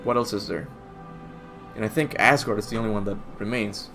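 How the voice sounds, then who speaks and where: A man's voice: fairly low pitch, fairly even intonation, a little fast, fairly bright tone. A young man from Cuba hosting a casual gaming Q&A, rambling and self-deprecating, laughing at his own slips. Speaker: gaming channel host answering viewer questions. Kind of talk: casual livestream Q&A chat. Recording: faint music behind.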